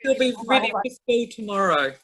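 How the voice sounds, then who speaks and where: A woman's voice: on the high side, expressive, moderate pace. Older lady on a call, far from the mic, lots of chatter behind. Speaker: caller. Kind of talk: casual video call chat.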